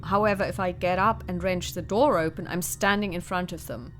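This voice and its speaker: A woman's voice: on the low side, fairly even intonation, fairly quick, a bit bright, faint music behind. A woman reading a solo horror adventure aloud and talking through her choices. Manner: reads passages steadily, then chats about her choices. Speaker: solo tabletop game player. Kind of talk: reading a gamebook aloud with asides.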